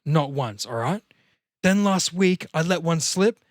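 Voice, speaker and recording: A man's voice: on the high side, expressive, measured pace, no echo, clean studio sound, voice pushed up. Man acting a heartbroken, sardonic young slacker. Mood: upset, incredulous. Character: devastated, freshly dumped young male slacker, sardonic. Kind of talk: table read of a film comedy scene played sad.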